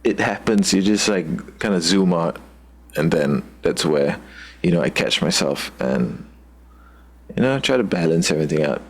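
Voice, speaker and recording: Low-voiced Singaporean man, halting. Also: animated. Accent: Singaporean English. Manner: loose and halting, searching for words with many "like" fillers. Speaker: guest musician. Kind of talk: casual video-call chat.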